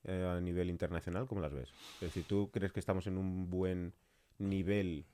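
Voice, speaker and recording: Man, low pitch, podcast host, interviewer. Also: fairly even intonation, normal speed, mellow, a little hiss or hum. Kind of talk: podcast intro and conversational interview.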